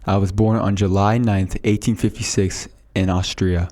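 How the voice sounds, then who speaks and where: A man's voice: low-pitched, fairly even intonation, moderate pace, mellow, close to an everyday voice. A man playing a boastful male inventor of the 1800s, reading a prepared script aloud in a school podcast role-play.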